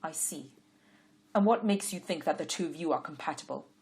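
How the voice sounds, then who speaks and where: Woman playing a dignified older father, measured and kind, low voice. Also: animated, a little mellow. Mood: pleased.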